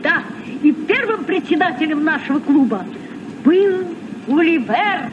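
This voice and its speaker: An actor playing an eager, inquisitive fifteen-year-old sea captain in a vintage radio play. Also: male voice, extremely high pitch, expressive, a little slow. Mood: eager, questioning. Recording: muffled, noisy old radio recording.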